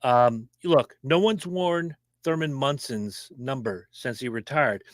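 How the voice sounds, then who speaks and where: A man co-hosting a casual racing podcast, opinionated and animated, talking fast. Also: mid-range voice, dry recording. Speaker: podcast co-host. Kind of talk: casual sports chat.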